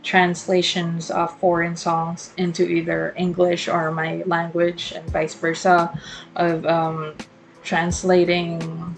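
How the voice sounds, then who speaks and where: A young woman hosts her own podcast in a relaxed solo monologue. Her voice sits on the low side, and she wanders through her thoughts with plenty of ums and restarts. Soft music plays faintly underneath. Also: takes it slowly, vocal fry, low-quality recording. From Philippines. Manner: rambling and reflective, many fillers and self-corrections. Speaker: podcast host.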